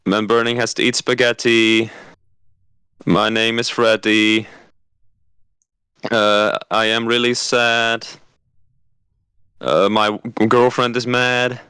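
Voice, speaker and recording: A man's voice: medium pitch, flat delivery, pushed and bright, clean tone, no room sound. A man playing Freddy, an awkward, clueless amateur singer who hesitates and makes up clumsy rhymes as he goes. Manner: hesitant, halting, making up rhymes. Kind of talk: improvised comedy skit.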